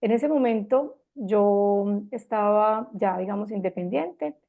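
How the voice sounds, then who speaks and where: A Colombian woman in her fifties with a Medellín accent, gently giving a reflective personal talk with frequent pauses and restarts. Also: mid-range voice, vocal fry, narrow, tinny audio. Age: 50s.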